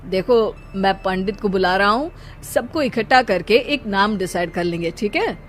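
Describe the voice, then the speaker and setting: A woman's voice: medium pitch, expressive, moderate pace, soft background music, close to an everyday voice. A woman voicing a reasonable young cartoon husband, placating and teasing as he talks. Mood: placating, joking. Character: reasonable, joking young husband and new father.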